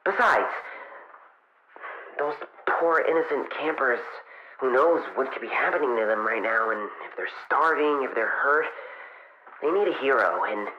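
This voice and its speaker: A man's voice: mid-range voice, animated, a little slow, long pauses. A man voicing an earnest young male fox adventurer recording audio drama logs, speaking hesitantly and trailing off mid-thought through a radio-log filter. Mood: determined. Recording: muffled lo-fi radio sound, clear background noise.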